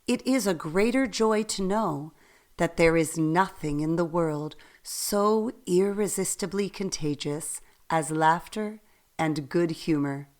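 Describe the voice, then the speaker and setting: A woman narrating a radio play as a storyteller, reading in a measured way. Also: lowish voice, darkish tone.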